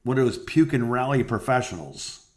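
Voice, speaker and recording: A man's voice: mid-range voice, sing-song, moderate pace, a little mellow. A middle-aged man chatting casually as a co-host, reminiscing in a rambling storytelling way. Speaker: podcast co-host, former radio host.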